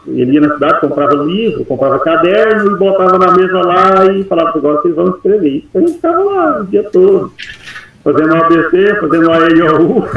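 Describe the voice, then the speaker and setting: Man in his fifties, gravelly, somewhat high voice, rambling folksy storytelling, very echoey room, faint hiss. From Goiás, Brazil. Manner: unhurried, rambling, folksy storytelling with soft laughs. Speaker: mentee teacher. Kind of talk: personal life story in an online meeting.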